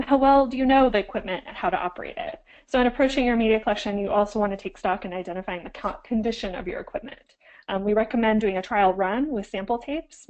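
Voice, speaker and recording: A woman's voice: medium pitch, darkish tone, dull audio. Woman with a cold giving a webinar. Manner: frequent "um"s and self-corrections. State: getting over a cold, slightly froggy. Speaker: archivist presenting a webinar. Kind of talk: instructional webinar talk.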